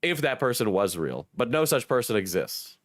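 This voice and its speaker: A hoarse young man with a cold, joking and swearing casually as he reads his chat on a livestream. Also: medium pitch, sing-song, moderate pace. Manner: joking, self-mocking, swears. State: hoarse, sick. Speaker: streamer reading chat.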